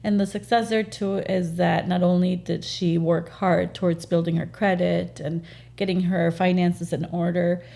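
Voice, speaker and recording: Realtor lady, spanish first language, low gravelly voice, lots of ums, earnest. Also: flat delivery, dark tone. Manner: earnest, halting with frequent fillers. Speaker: realtor, interview guest. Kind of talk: casual interview conversation.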